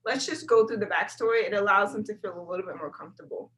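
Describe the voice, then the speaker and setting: A woman from South Florida speaks as a podcast guest, energetic and conversational. She often doubles her words mid-thought. Her voice is bright and forceful at a middle pitch. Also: quick pace. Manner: energetic, repeats words when thinking, conversational. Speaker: guest, brand videographer. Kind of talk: casual interview chat.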